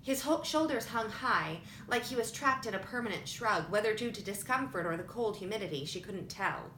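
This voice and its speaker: Lady reading a novel out loud, crisp bright tone, echoey, slight background noise. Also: lively intonation, moderate pace. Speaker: audiobook reader. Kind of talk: scripted fiction narration. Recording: roomy echo with faint rumbling noise.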